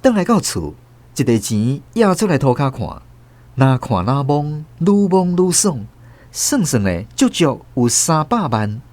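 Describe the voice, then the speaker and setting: A man's voice: a little high, sing-song, a little slow, darkish tone. A man with a Taiwanese accent narrating a radio drama in a dramatic, scripted storytelling style.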